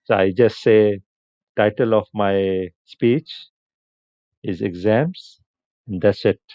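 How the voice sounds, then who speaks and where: Slow-talking man. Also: lowish voice, steady tone, dry recording, highs cut off. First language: Punjabi. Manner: unhurried, chatty, answers comments, laughs often. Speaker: livestream host. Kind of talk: casual live stream chat with viewers.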